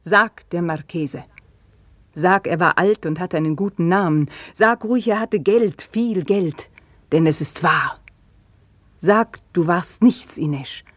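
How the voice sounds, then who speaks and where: A brooding widow estranged from her fiancé. Her voice is husky and breathy, on the lower side, and often sinks toward a whisper. She speaks with halting intensity in a closely recorded, dry radio drama. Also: no room sound. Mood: bitter, intense. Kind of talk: German radio play acting.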